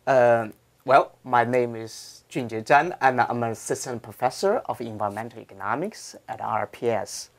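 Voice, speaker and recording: A man in his thirties speaks with a Chinese accent at a medium pitch. He answers like a professor in an informal interview, building his explanations in careful, halting phrases. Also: vocal fry. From China.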